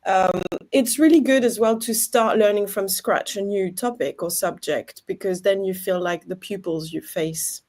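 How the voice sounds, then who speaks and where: A woman's voice: medium pitch, normal speed, darkish tone. A woman French teacher with a French accent, a native French speaker, talking enthusiastically with hesitant fillers in a panel discussion.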